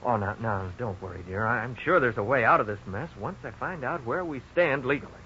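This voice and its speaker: A man's voice: medium pitch, brisk, sharp tone, lightly acted. An actor playing an anxious but earnest man in his twenties in debt, in a 1950s radio drama style, hesitant and exclamatory when troubled. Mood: alarmed, resolute. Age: 20s. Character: worried young debtor and husband, twenty-six, anxious but earnest. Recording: dull, noisy 1950s radio recording.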